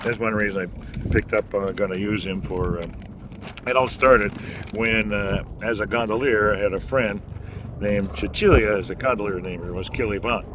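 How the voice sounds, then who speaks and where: A man with a low voice teaching singing in a folksy, rambling way, with many fillers and restarts. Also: moderate pace. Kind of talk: casual instructional talk. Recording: noisy background.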